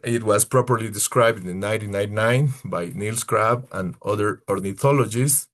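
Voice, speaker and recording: A man from Ecuador with an Ecuadorian Spanish accent, giving an informal online slide talk in halting non-native English as a tour leader. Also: on the low side, a bit bright. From far south Ecuador. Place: indoors on a video call.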